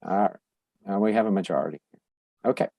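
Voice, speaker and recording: Halting man with a low voice. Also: vocal fry, mellow, dry recording. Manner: halting, many fillers and restarts. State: losing his voice. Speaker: council meeting chair. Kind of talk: virtual public meeting.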